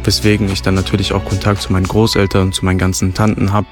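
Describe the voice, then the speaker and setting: A man's voice: sits low, flat delivery, quick pace, over music. A man, son of a restaurant owner, talking in a casual radio interview, fluent and descriptive with frequent fillers. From Germany. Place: restaurant terrace.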